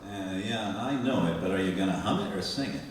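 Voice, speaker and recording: A man's voice: low-pitched, fairly quick, a little hiss or hum, lightly acted. A man playing an easygoing sidekick on a contest show in a live old-time radio comedy. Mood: casual, presenting. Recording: echoey hall, distant mic.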